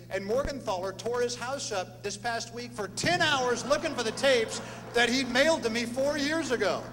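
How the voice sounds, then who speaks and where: A man's voice: high-pitched, fairly bright tone. A man giving an informal speech as presenter to an audience at a ceremony. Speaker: former radio sports announcer. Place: large room at a banquet event. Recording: noisy echoing hall with hum.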